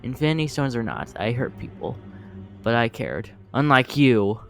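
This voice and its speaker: An earnest hero with a mid-pitched voice, as an amateur actor plays it. The delivery is emotional and defiant, in a comic-book audio drama with music underneath. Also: sing-song, moderate pace, gravelly, a bit bright. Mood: remorseful, defiant. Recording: music behind the voice.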